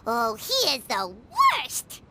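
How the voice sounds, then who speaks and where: A woman voicing a tiny, chirpy fairy-like game companion, childlike, squeaky, bubbly and blunt. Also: huge pitch swings, takes it slowly, noisy background, full character voice. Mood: indignant. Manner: bubbly, informal, outspoken. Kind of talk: scripted game voice acting.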